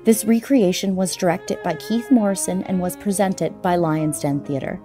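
A woman's voice: lowish voice, moderate pace, mellow. Woman announcer over violin music. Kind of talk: scripted credits read.

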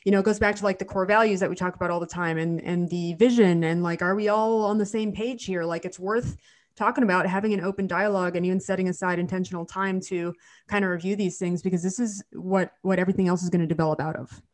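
A woman's voice: fairly low pitch, steady tone, quick pace. A woman in her thirties co-hosting a podcast from home, a little nasal from a cold, chatty with frequent restarts. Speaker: podcast co-host, dental hygienist. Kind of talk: casual podcast chat. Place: home living room. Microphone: studio mic on video call.

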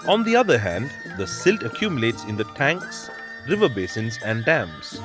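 A man's voice: medium pitch, big pitch swings, moderate pace. A man reading scripted instructional narration for a documentary. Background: background music.

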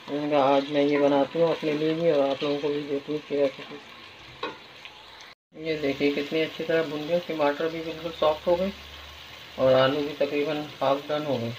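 Lady doing a casual cooking vlog, pretty flat pitch, muffled audio w sizzling pan behind her. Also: background noise. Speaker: home cooking vlogger. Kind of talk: casual recipe narration while cooking. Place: home kitchen, indoors. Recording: dull phone audio, loud frying sizzle. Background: frying, sizzling and stirring.

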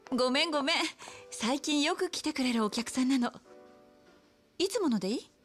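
An actress playing a friendly bar hostess in a video game cutscene, chatty and encouraging, with soft feminine phrasing. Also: female voice, high-pitched, expressive, fairly quick, soft background music. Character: friendly, coaxing bar hostess, a familiar big-sister confidante.

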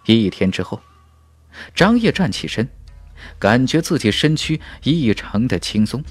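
A man narrating a fantasy audiobook in a dramatic, scripted style, with measured, emphatic phrasing. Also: mid-range voice, big pitch swings, no room sound. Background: faint music.

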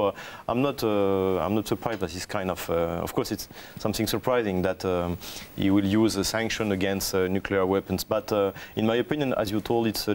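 Male panel analyst, 40s, French accent, lowish pitch, halting non-native delivery. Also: darkish tone. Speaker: panel guest, political analyst. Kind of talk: political panel discussion. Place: television studio round table.

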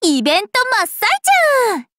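An actress playing a cheerful, playful young vampire princess in an anime game, speaking in a bubbly, teasing, animated way. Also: female voice, very high voice, normal speed, no room sound, studio quality. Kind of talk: anime game character voice lines.

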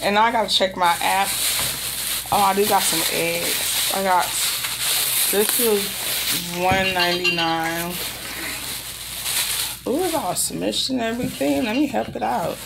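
A woman's voice: medium pitch, a little slow, creaky, long pauses, noisy background. A woman in her thirties chatting casually and bluntly to camera in a rambling vlog, sounding irritated, recorded at home at arm's length. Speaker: vlogger. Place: room in an apartment.

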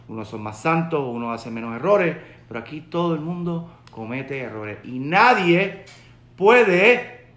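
A man's voice: on the high side, a bit bright. A man in his forties from Puerto Rico with a Puerto Rican Spanish accent, giving an earnest Torah lesson to a webcam in his study, repeating his key points. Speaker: rabbi. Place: home study with bookshelves, indoors. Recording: roomy echo.

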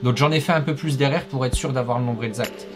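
Young man, energetic fitness YouTuber. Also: medium pitch, fast talker. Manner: energetic, rambling, many fillers. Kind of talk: casual commentary over workout footage. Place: small home studio with acoustic foam. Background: guitar music.

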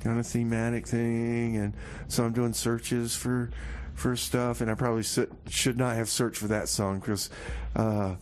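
A man's voice: mid-range voice, flat delivery, unhurried, hardly any pauses, darkish tone. A man hosting a music show on the radio, chatting casually and rambling with slangy, laid-back asides.

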